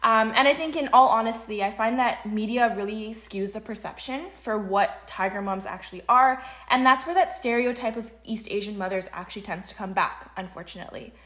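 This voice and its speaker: A woman's voice: fairly high pitch, fairly quick, hardly any pauses, a bit bright. A woman in her early twenties with a Canadian accent, co-hosting a casual conversational podcast in an articulate, discursive way. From Canada, grew up in Vancouver. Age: early 20s. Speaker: podcast co-host.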